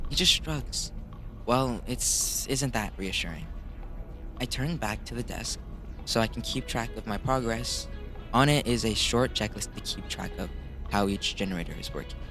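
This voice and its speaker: A man's voice: medium pitch, normal speed, music in the background. A man narrating a visual novel in a let's play, casually commenting and sometimes stumbling over the text. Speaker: gamer reading a visual novel aloud.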